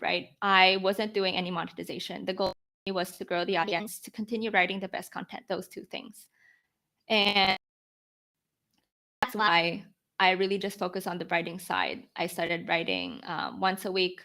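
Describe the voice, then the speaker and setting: A woman's voice: mid-range voice, gravelly, fairly bright tone. A 20-year-old woman from the Philippines giving informal, conversational interview answers as a podcast guest, often posing questions to herself as she explains. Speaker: podcast guest, newsletter founder.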